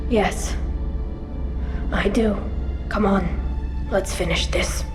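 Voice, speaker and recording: A woman's voice: mid-range voice, steady tone, slow, close to an everyday voice. A woman playing a young alien daughter in a video game, speaking with earnest, pained grief and pleading. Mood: sad resolve. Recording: roomy long reverb, music behind.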